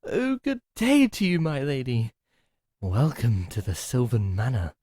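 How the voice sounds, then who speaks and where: Brief: man, theatrical creepy butler type, lively swooping pitch, dry close mic. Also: measured pace, husky, no room sound. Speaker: servant called Happiness greeting a captive. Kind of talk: ASMR roleplay acting.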